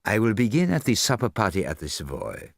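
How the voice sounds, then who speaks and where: Brief: male reader doing a hushed, precise, formal sleuth, slow and low, dry close sound. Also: wildly swooping pitch, gravelly, dry recording, full character voice. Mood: explaining. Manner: formal, measured, quietly self-satisfied. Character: fastidious older male detective, soft-spoken and precise. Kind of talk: audiobook character voice.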